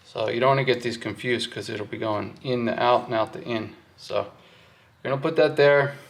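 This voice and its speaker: A man with a noticeably gravelly, fry-edged voice at an average pitch talks through a hands-on job. He sounds informal and unscripted, thinking aloud as he explains each step. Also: moderate pace. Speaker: host of a hands-on wiring walkthrough. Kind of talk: casual workshop explanation while working.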